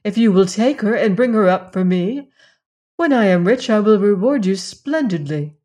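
A woman voicing a tender, sorrowful young queen who pleads softly. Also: medium pitch, lively intonation, moderate pace, natural voice. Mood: earnest. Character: gentle, sorrowful young queen locked in a tower.

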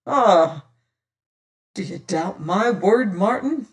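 Male narrator as mocking pirate rogue, storybook villain, high-pitched, drawn-out delivery, archaic sailor talk. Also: animated, distant mic. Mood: mocking, cool. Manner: taunting, lecturing, archaic seafaring speech. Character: sly, scornful, silver-tongued pirate rogue, mocking and self-assured. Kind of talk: dramatised audiobook reading of an adventure tale.

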